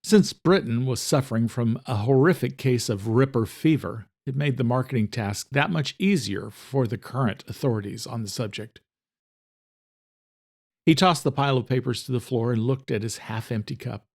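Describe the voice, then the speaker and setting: A man narrating a scripted horror thriller audiobook in a measured, dramatic storytelling manner. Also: medium pitch, vocal fry, a little mellow.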